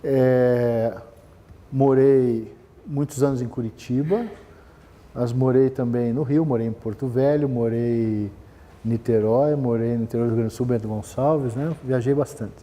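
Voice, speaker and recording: A middle-aged man from Brazil, telling his career story in a relaxed talk, often hesitating and restarting. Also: mid-range voice, measured pace, big-room sound. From southern Brazil. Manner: rambling, many fillers and restarts. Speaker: business manager giving a talk. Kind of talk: conversational talk, personal history.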